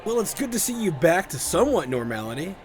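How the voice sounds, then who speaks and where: A man voicing a cheeky, showy tournament announcer in an animated fiction, hyping fights to the crowd as a chatty showman. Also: high voice, fast talker, faint music behind. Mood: friendly. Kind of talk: theatrical arena fight commentary.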